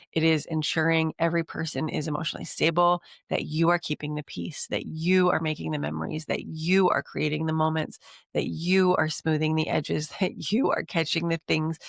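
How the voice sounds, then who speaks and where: Congested woman podcast host, gravelly. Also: deep voice, dry recording, clean studio sound. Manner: earnest, encouraging, repeats lists for emphasis. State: congested from a cold. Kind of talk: coaching monologue.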